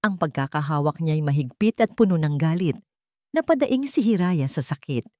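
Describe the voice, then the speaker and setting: Female story narrator, lowish pitch, emotive and suspenseful scripted delivery, dry close recording. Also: expressive, normal speed, mellow, dry recording.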